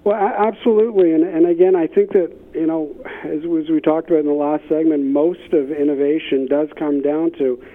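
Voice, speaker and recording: A man's voice: a little high, steady tone, brisk, hardly any pauses. Man on a thin phone line. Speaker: expert guest, business innovation consultant. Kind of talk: interview conversation with anecdotes.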